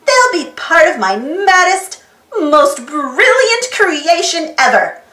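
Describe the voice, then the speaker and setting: Woman playing a bright, theatrical female mad scientist. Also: very high-pitched, very animated, takes it slowly, full character voice. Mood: grand, scheming. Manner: theatrical, cackling, boastful. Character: gleeful female mad scientist, grandly scheming. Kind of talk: children's storybook character voice. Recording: roomy echo with music behind.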